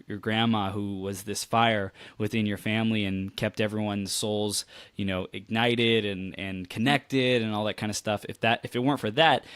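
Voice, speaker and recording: A man's voice: on the low side, a bit bright, no room sound. A man hosting a conversational coaching interview, affirming and reflecting back what he hears.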